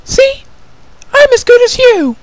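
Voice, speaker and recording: A man voicing a little changeling princess, an eager, pleading younger sister who whimpers excitedly, in a dramatic story reading. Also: very high voice, slow, dry recording, pitched above the performer's own voice, full character voice, poor audio. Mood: excited, proud.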